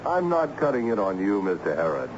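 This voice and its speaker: An actor playing a harried, ironic assistant principal in an old-time radio drama, theatrical and patiently explanatory. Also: male voice, lowish voice, big pitch swings, a little fast. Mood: firm, urgent. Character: harried, excitable male school assistant principal with ironic humour. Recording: dull, noisy old radio recording.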